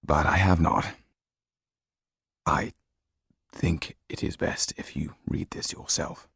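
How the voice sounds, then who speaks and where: Man playing a low, husky, weary old lawyer. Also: slow, a bit bright, no room sound, studio quality, heavily acted. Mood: regretful. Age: older. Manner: hesitant, grave, low-voiced. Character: weary, kindly old male French lawyer, anxious and regretful.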